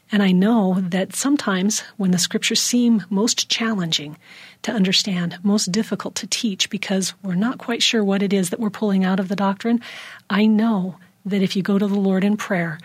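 Husky woman, measured and heartfelt. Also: medium pitch, dry recording. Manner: measured, heartfelt, softly emphatic. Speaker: panel guest. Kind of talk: earnest discussion of faith.

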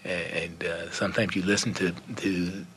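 A man's voice: deep voice, flat delivery, a little slow, a bit bright, a little hiss or hum. An older man on a discussion panel, speaking sincerely and reflectively with frequent restarts. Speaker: panel guest. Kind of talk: earnest discussion of faith.

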